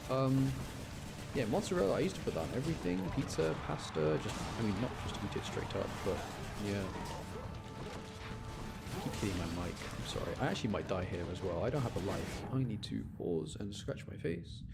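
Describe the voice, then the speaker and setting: A man's voice: fairly low pitch, big pitch swings, a little fast, gravelly. A man giving casual, rambling live commentary on a game, chatting to his stream and often interrupting himself. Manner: rambling, self-interrupting, mild grumbling. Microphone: close streaming microphone. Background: game music.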